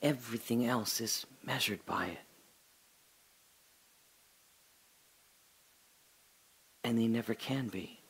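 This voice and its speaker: An actor in an audio drama playing a rambling detained man, bitter and sardonic, who swings from hushed musing to indignant rants. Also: medium pitch, normal speed, creaky, lightly acted, poor audio. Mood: wistful.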